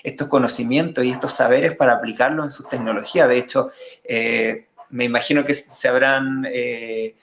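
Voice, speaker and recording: A man of middling pitch walks viewers through slides on a live stream. He explains in a halting way and keeps circling back over his own words. His voice comes through a muffled, lo-fi signal in a room with echo. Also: fairly even intonation, a bit bright. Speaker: guest presenter. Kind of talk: live online talk presenting slides.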